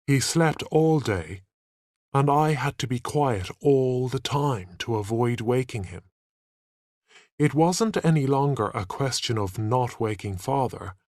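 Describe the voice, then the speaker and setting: A man narrates a story in an expressive, scripted style. His pace is slow and deliberate, and his medium-pitched voice rises and falls freely. The sound is dry and close, as in an audiobook. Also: no room sound.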